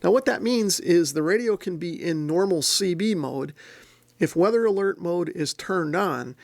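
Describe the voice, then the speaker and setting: Creaky-voiced man giving a step-by-step demonstration. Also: high-pitched, animated, moderate pace, dry recording, clean studio sound. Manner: methodical, plain-spoken explaining. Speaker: host, radio reviewer. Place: indoor room.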